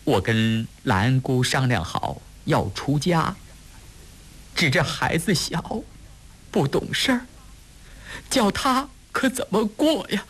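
Man acting a breathy, sorrowful, contrite woman. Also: fairly high pitch, expressive, slow, long pauses, pitched above the performer's own voice, exaggerated voice. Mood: contrite, pleading. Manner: quiet, breathy, pleading. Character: sorrowful, contrite woman of a ruined family, self-possessed.

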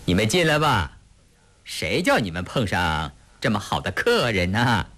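A man's voice: on the low side, very animated, unhurried, fairly bright tone, exaggerated voice. A man voicing an obsequious, chatty innkeeper in a storybook reading, deferential and lilting. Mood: obliging. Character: obsequious male innkeeper, chatty and eager to please.

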